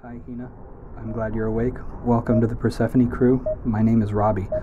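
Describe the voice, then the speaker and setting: A man's voice: medium pitch, little pitch movement, a little fast, lo-fi sound, background noise, natural voice. An actor playing an awkward, literal-minded young man on a spaceship crew who stammers when nervous. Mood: rehearsing.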